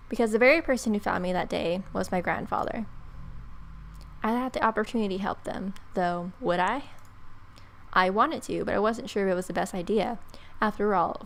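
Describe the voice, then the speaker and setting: A young woman reads a visual novel aloud as a streamer. Her voice has a noticeable fry at a middle pitch. The delivery is expressive, with the odd small stumble. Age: young adult. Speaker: let's play streamer.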